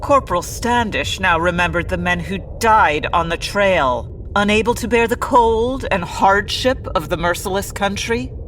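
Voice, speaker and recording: A woman's voice: medium pitch, measured pace, hardly any pauses, a bit bright, a little hiss or hum, close to an everyday voice, rough recording. A woman playing a grave, slyly teasing narrator of a frontier adventure in an old-time radio comedy. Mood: grave, dramatic. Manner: grave, theatrical, sly.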